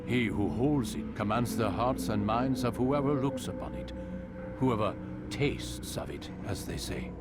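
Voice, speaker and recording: An actor playing a grave, authoritative master of a medieval order, speaking in a measured, formal way. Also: male voice, mid-range voice, animated, loud music behind the voice, close to an everyday voice. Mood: solemn, reverent. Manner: measured, formal, solemn storytelling.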